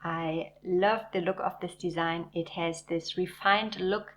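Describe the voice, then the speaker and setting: A woman hosting a knitting channel, narrating in a warm, enthusiastic, measured way. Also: on the low side, steady tone, slight background noise. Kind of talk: scripted video narration.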